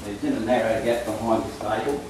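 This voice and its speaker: A man's voice: fairly low pitch, fairly even intonation, fairly quick. A man giving an informal, practical field explanation in a plain-spoken manner, like a trainer showing people the job. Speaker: rabbit control trapper and trainer. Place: outdoors on rural land. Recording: clear outdoor rural background noise.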